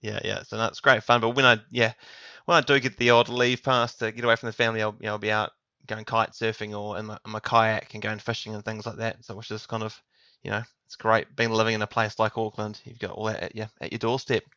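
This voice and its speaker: A male podcast guest talks casually with a New Zealand accent. His voice is bright and sharp at an average pitch. The sound is close and dry but a little band-limited. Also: flat delivery, fast, no echo, lo-fi sound. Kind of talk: casual interview chat.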